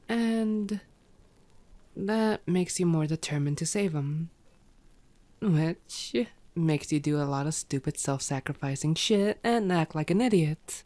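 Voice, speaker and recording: A woman's voice: low-pitched, dark tone. A woman playing a sardonic, teasing, bloodthirsty female vampire in an ASMR roleplay, drawing out words and sometimes sinking toward a whisper. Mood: grudging, sardonic. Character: teasing, unrepentant bloodthirsty female vampire, old yet youthful-sounding.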